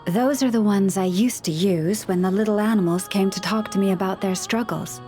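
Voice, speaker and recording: A woman voicing a gentle young Moon Chanter in a video game, speaking in a calm, measured, earnest way as a caring counselor to people and animals. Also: medium pitch, music in the background, lightly acted. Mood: warm.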